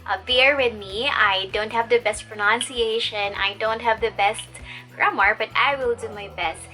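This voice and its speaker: A woman's voice: fairly high pitch, moderate pace, sharp tone, faint music behind. A young adult woman, an online English teacher chatting upbeat to her viewers, calling them guys. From Philippines. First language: Tagalog. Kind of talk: casual talk to camera.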